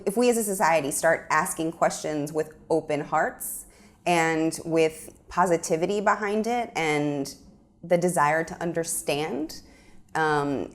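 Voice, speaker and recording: A young adult woman filmmaker from Miami, speaking earnestly and thoughtfully in a studio interview, with frequent ums. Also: deep voice. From Miami, USA. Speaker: filmmaker guest. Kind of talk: interview conversation.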